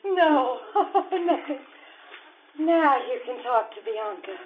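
A woman plays a spirited, demanding wife in a radio farce. She is very high-pitched and highly animated, theatrical and exclamatory, on a muffled live stage recording. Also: slow, a little hiss or hum. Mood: affectionate. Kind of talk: stage radio comedy acting.

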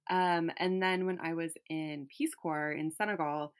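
A young woman from California with a slightly low voice, chatting easily. She talks in loose runs full of fillers and little laughs. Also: fairly even intonation, a little slow, clear, resonant tone. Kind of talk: casual chat.

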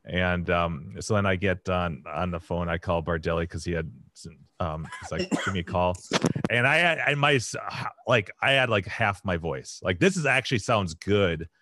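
A man with a hoarse, raspy voice, hosting a podcast and telling a long casual story full of fillers and restarts. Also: low voice, lively intonation, moderate pace, sharp tone. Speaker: podcast host. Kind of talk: casual chat.

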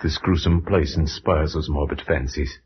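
An actor playing a grave, dread-filled gentleman who narrates and acts as a loyal friend in vintage radio horror, solemn, measured and theatrical. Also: a bass voice, fairly even intonation, slight background noise. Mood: grave, anxious. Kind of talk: 1940s-50s radio horror narration and acting. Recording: dull vintage radio recording, loud music.

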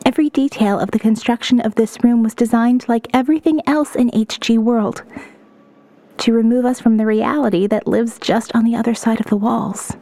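A woman performs a young female survivor telling her story as a diary in an audio novel. Her voice sits a little high, with clear articulation and a measured, introspective delivery. The sound is dry and free of room echo. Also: steady tone, mellow, close to an everyday voice. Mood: wistful, thoughtful. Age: young adult. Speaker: audiobook narrator. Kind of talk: first-person dramatic audio novel narration.

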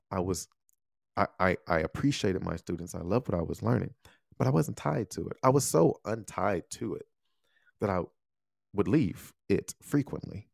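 A man's voice: sits low, vocal fry, no echo, clean studio sound. A man in his thirties from the United States, hosting a casual solo podcast and talking things through with lots of "you know" and "right?". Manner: conversational, frequent "you know" and "right?" tags. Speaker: podcast host.

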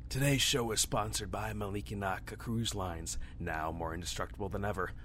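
Man as a low-voiced deadpan sci-fi co-host. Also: brisk, slight background noise, close to an everyday voice. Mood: announcing. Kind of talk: comedy radio show hosting.

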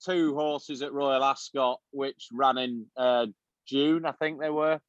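A man's voice: medium pitch, fairly even intonation, slow pace, no echo, lo-fi sound. A man co-hosting a podcast, telling a long rambling story with lots of ums and uhs. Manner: rambling anecdote-telling with many fillers. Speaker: podcast co-host. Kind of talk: casual chat.

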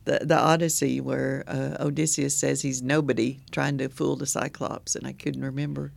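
A man of sixty hosts a loose, joking chat, laughing easily at his co-host's quips. His voice is heavily gravelly and recorded dry and close, with no room sound. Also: mid-range voice, level tone, moderate pace, dry recording. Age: 60s. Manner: laughs often, chatty and joking. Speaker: podcast host. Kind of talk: casual chat. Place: poetry museum.

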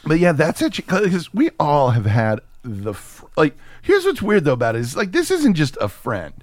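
A man's voice: on the high side, moderate pace, no room sound. A man hosting a casual, comedic movie podcast, riffing with plenty of swearing. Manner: profane, riffing, animated. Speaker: podcast host.

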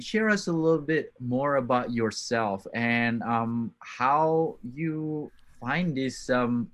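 Man, podcast host, hesitant, lots of ums, friendly. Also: mid-range voice. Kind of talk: casual podcast interview.

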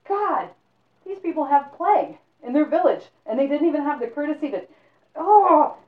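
A woman plays a jumpy wandering antique dealer, a man who stumbles over his words and turns shrill with alarm. The sound is thin like a phone call and echoes around the room. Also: expressive, brisk, recorded from a distance. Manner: hesitant, stammering, then alarmed.

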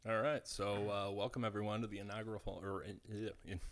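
A man in his thirties with a deep voice, co-hosting a casual podcast in a relaxed, joking way with lots of fillers. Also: quick pace. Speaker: podcast co-host. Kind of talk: casual chat.

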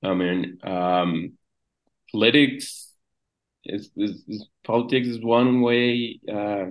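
A young man from Mexico talking casually as a podcast guest, halting and repeating words, trailing off quietly. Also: fairly low pitch, fairly even intonation, lo-fi sound. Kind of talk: casual conversation.

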